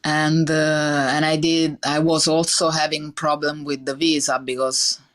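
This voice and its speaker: Slow-talking Italian woman chef. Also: low voice, little pitch movement. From Italy. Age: 30s. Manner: earnest, halting, many fillers and restarts. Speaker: chef guest. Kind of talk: podcast interview.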